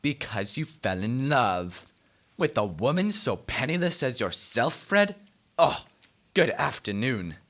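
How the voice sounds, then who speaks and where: A man performs a miserly old man in a radio play, with a bright, forceful voice at middle pitch. He is curt and scornful, barking out his contempt with sarcastic bite. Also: very animated, slow pace, exaggerated voice. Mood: dismissive. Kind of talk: radio drama acting.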